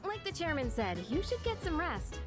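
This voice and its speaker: A woman's voice: very high voice, sing-song, moderate pace. A woman voicing a friendly, kind-hearted teenage schoolgirl in a video game, casual and sympathetic. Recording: music behind the voice.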